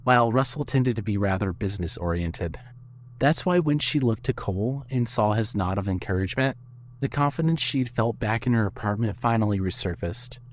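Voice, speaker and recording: A man's voice: lowish voice, brisk. Male story narrator, faint background hum.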